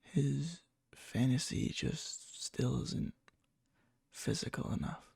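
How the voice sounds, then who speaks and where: A man playing a needy, lovesick, obsessive young friend in a soft, breathy ASMR roleplay, hesitant and coy. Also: medium pitch, takes it slowly, no room sound, clean studio sound, heavily acted. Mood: yearning, secretive. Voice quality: breathy, soft, fry-edged. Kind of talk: whispery ASMR roleplay, intimate storytelling.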